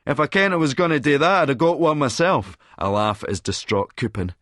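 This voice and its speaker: A man's voice: fairly high pitch, animated, brisk, a bit bright, no room sound, clean studio sound. A man playing a cynical middle-aged Scottish schemer who narrates in a verbose, sardonic, lecturing manner. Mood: mocking, amused. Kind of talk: first-person audiobook narration with dialogue.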